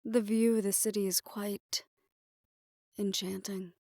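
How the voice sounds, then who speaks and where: A woman's voice: mid-range voice, level tone, unhurried, mellow, no echo, studio quality, natural voice. A woman playing a poised, imperious hotel manager in an audio roleplay, speaking formally and with polite condescension. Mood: gracious, smooth. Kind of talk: scripted audio roleplay addressed to the listener.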